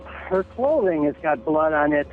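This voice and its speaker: A man's voice: a little high, animated, measured pace, soft background music. A man calling emergency services as a bystander, sounding unsure on a lo-fi phone line. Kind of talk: emergency phone call report.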